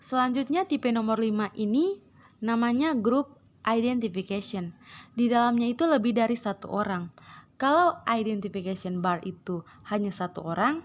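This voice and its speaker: A woman teacher with an Indonesian accent, hoarse from a cold, reading English captions slowly and explaining them, clearing her throat often. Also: on the high side, lively intonation. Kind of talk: online English lesson, reading then translating.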